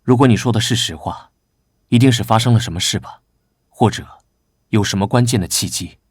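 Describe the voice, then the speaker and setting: A man playing a guarded, serious young knight vice-captain, terse and measured, in an animated comic dub. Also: fairly low pitch, husky, no echo, clean studio sound. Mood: calm, serious.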